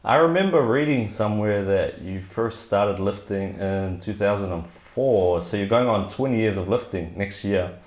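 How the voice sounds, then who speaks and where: A man's voice: fairly low pitch, moderate pace, hardly any pauses. A man hosting a podcast, introducing and questioning a guest in a casual interview style. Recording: echoey, roomy sound.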